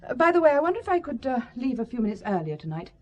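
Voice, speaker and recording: An actress in a radio drama playing an anxious, protective, sharp-tongued secretary who is prim, frank and disapproving. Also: female voice, sits high, big pitch swings, racing, dull audio. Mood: cool, prim.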